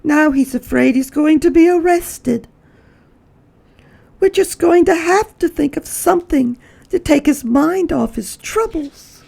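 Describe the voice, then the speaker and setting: A woman's voice: sits high, measured pace, vocal fry, warm tone, pitched above the performer's own voice, full character voice. A woman voicing a kindly, fussy mother and hostess in a storybook, polite and exclamatory in a sing-song way. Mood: concerned.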